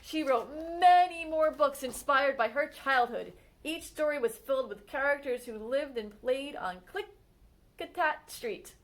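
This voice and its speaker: Woman, children's read-aloud, expressive storytime delivery, slightly high voice. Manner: expressive storytime reading, slowing on exciting moments. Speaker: children's librarian. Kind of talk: children's read-aloud of a picture book biography.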